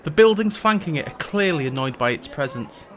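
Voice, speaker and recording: A man's voice: a little high, lively intonation, brisk, a little hiss or hum, close to an everyday voice. A man playing a reluctant, sardonic male mage who hosts a tourism show, delivering scripted comic narration with dry, sarcastic asides. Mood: wry. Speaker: podcast host.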